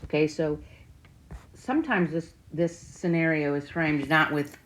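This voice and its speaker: A woman's voice: deep voice, measured pace, low-quality recording. A woman teacher giving an informal math tutorial, thinking aloud and often checking in with short questions. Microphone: screen-recording voice-over. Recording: faint background noise with clicks.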